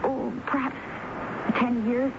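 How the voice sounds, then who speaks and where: A nervous, self-effacing typist in an old radio drama. She speaks in halting, guarded phrases, repeating words timidly. The broadcast recording is muffled with clear noise. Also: a little high, flat delivery. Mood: flustered, self-effacing. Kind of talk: 1970s radio drama acting.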